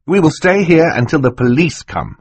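A man's voice: a little high, sing-song, normal speed, slightly rough, no echo, pitched above the performer's own voice. A male reader plays an earnest, frightened boy standing his ground in an audiobook. The voice is lifted well above the reader's own and sounds strained and indignant, with a dry, close sound. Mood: defiant. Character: earnest, defiant young boy, frightened but brave. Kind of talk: audiobook character voice.

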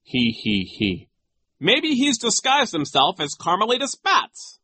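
A man's voice: sits high, wildly swooping pitch, a little slow, pushed and bright, voice pushed up, full character voice. A man voicing a vain, pompous and nasty male school vice principal in a sneering, mocking manner for an audiobook. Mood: gleefully mocking. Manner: sneering, self-important, mocking.

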